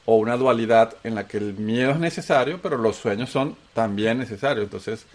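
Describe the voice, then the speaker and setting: A Colombian-born man with a Venezuelan accent, hosting a casual talk show and telling his story with animated emphasis. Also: mid-range voice, a little fast. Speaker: host. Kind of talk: casual chat.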